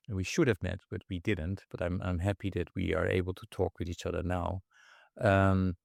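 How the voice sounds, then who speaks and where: Deep gravelly host. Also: male voice, darkish tone, no room sound, clean studio sound. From Netherlands. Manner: friendly, easygoing, frequent "you know". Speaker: podcast host. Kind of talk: interview podcast conversation.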